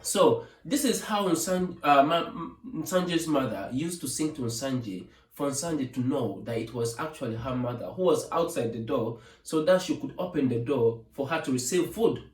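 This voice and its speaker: A man with a Ugandan accent tells a folktale informally as a storyteller, pausing to explain and translate as he goes. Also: medium pitch, animated. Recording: echoey room, distant from the mic.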